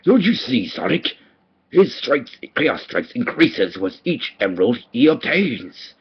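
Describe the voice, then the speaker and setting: A man's voice: mid-range voice, sing-song, unhurried, breathy edge, voice pushed up, full character voice. A man voicing a pompous, gleefully megalomaniac mad scientist cartoon villain with grand theatrical delivery, in an amateur voice demo. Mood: gloating, grandiose. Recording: muffled lo-fi home recording.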